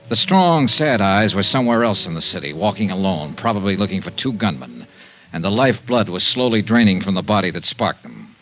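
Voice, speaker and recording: An actor playing a world-weary, compassionate insurance investigator, narrating tersely in a 1950s radio drama. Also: male voice, on the low side. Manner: terse hard-boiled narration. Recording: muffled 1950s radio recording with music.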